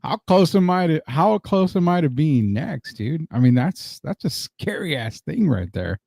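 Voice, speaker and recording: A man's voice: fairly high pitch, sing-song, measured pace, a little mellow, no room sound, studio quality. A middle-aged man hosting a casual live-streamed sports chat in a loose, slangy way. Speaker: sports show host.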